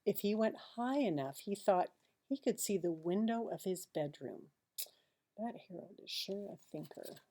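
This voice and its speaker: A woman reads a children's picture book aloud like a teacher, expressively, with dramatic pauses and hushed asides. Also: medium pitch, lively intonation, slight background noise.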